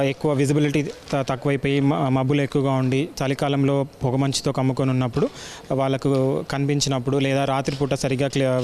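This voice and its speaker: A man with an Indian accent explaining technical work in an interview, speaking haltingly and mixing in English terms. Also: medium pitch, a little mellow, slight background noise. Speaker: air traffic controller explaining his work.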